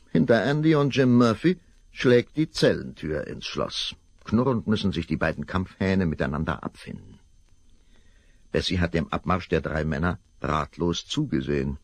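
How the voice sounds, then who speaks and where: Gravelly deep older male narrator. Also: no echo. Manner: measured storyteller. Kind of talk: scripted narration.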